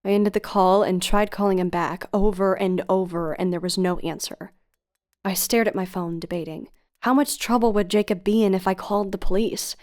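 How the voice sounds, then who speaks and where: A woman's voice: medium pitch, steady tone, moderate pace, a little mellow, close to an everyday voice. A woman playing a worried, insistent young woman in an audio drama, speaking with urgent, emotionally tense questioning. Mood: grave narration. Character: worried, insistent young woman on a tense phone call, narrating her story. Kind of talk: audio drama acting with first-person narration.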